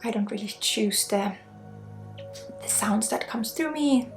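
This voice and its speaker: A woman with a Swedish accent leading an ASMR energy healing session, soft-spoken with pauses and often dropping into whispers. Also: a little high. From Sweden. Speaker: ASMR energy healer and spiritual guide. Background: music.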